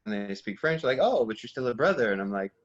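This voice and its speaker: A young American man talking as a podcast guest in a relaxed, reflective way, with fillers and restarts. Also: medium pitch, fast. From United States. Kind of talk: casual conversation. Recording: dull lo-fi sound.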